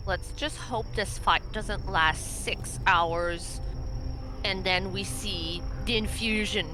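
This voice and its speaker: A woman's voice: mid-range voice, takes it slowly, noisy background. A woman chatting casually as a player in a tabletop roleplaying game.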